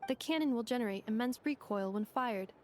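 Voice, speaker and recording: A woman as a polite, high-voiced robot girl. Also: a little mellow, slight background noise, natural voice. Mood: formal, composed. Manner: measured, formal, clinical. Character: polite, precise young female robot assistant. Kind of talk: video game voice acting.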